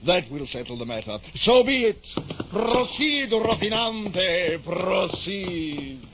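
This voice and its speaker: An actor playing a deluded, grandiose middle-aged knight-errant, declaiming floridly in the style of 1950s radio drama. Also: male voice, sits high, big pitch swings, slow pace, over music, exaggerated voice. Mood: grave, resolved. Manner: pompous, florid, theatrical declaiming. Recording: muffled, hissy 1950s radio recording.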